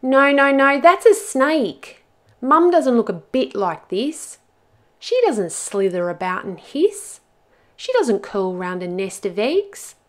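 A woman voicing a lost little monkey child in a storybook, pleading and exasperated, with emphatic, drawn-out words. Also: fairly high pitch, very animated, voice pushed up. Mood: indignant.

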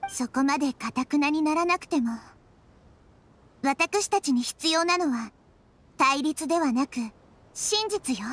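High-voiced, wise little girl deity. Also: exaggerated voice. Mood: earnest, resolute. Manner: calm, earnest, measured. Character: small childlike girl deity, calm, wise, compassionate. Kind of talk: video game voice acting.

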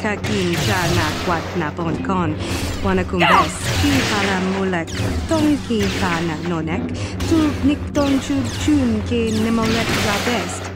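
A woman's voice: medium pitch, sing-song, unhurried, loud music behind the voice. An actress playing a stern alien warrior woman who speaks an invented alien tongue in video game dialogue.

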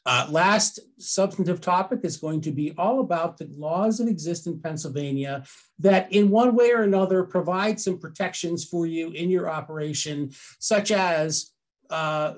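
A man's voice: a little high, normal speed. An older man with an American accent presents an explanatory webinar into a headset, conversational and often rephrasing himself as he goes.

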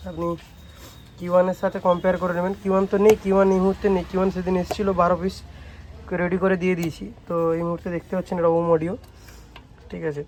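Young male shop presenter, West Bengali accent, high voice, informal showcase delivery with frequent check-in tags, faint ambient noise. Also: flat delivery, racing, darkish tone. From West Bengal, India. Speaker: speaker repair shop presenter. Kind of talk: informal product showcase vlog.

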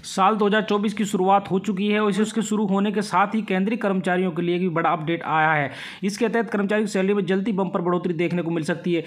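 A man's voice: sits high, steady tone, fast talker. A man presenting scripted news narration for a channel, with an emphatic, announcing delivery.

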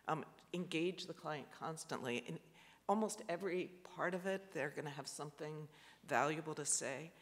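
A man with a fairly high, bright and gravelly voice presents at a panel, halting and full of fillers and restarts. He sounds like he's in a large auditorium with a long reverb tail. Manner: hesitant, many fillers and restarts. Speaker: architect. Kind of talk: panel talk presenting slides.

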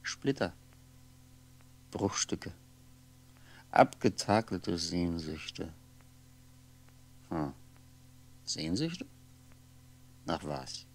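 An actor playing a lonely, world-weary aging man, musing haltingly to himself in a 1960s radio drama monologue, with a gravelly voice. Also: low voice, sing-song, full character voice. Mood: melancholy, searching. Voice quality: gravelly, often sinking into breathy whisper. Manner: halting, brooding, fragmented musing with pauses. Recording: 1960s radio recording, faint noise.

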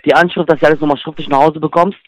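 Fast-talking male telemarketer, fairly high voice. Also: fairly even intonation, no echo. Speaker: telemarketing call centre agent. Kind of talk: phone sales call, informal back-and-forth. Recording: telephone call.

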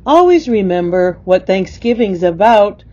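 A woman's voice: medium pitch, lively intonation, unhurried, warm tone, dull audio. A woman reading a rhyming poem aloud. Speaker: poem reader.

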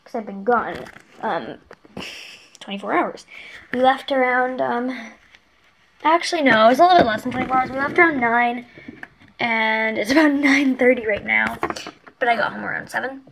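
A woman's voice: fairly high pitch, normal speed, vocal fry, long pauses, a bit bright, slight background noise. Stuffy-nosed teen girl vlogging at night. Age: teenager. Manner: chatty, rambling, often drops to a whisper. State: stuffy nose. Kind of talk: casual vlog chat. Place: small bedroom at night. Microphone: webcam on a desk lamp.

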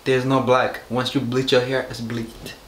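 A man's voice: mid-range voice, level tone, normal speed, fairly bright tone, echoey room, a little hiss or hum, rough recording. A young man in his twenties teasing his girlfriend in casual playful banter, filmed close by a camera in a small room. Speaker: vlogger.

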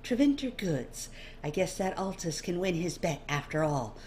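A woman's voice: fairly low pitch, big pitch swings, moderate pace, darkish tone. Storybook ex-slave scribe, a woman's performance: meek, evasive, guarded, faint noise behind.

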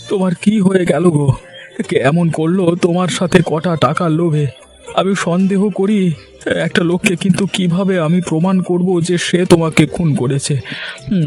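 A man's voice: sits high, darkish tone, pitched above the performer's own voice, lightly acted. A man voicing a helpless, wrongly accused village man in a cartoon, with a thin, strained, wailing, begging voice. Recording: music behind the voice.

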